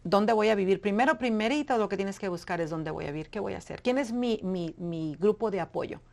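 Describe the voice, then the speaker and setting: Mujer seria y emotiva contando su historia. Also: female voice, mid-range voice, brisk, creaky, darkish tone. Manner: earnest, emotional, searching for words. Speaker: guest. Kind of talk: personal story in interview.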